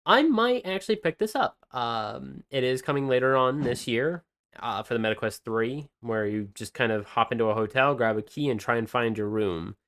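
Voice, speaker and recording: A man's voice: mid-range voice, moderate pace, fairly bright tone. Rambling man chatting about games. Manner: rambling, with many fillers and restarts. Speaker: podcast co-host presenting game news. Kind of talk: casual podcast chat.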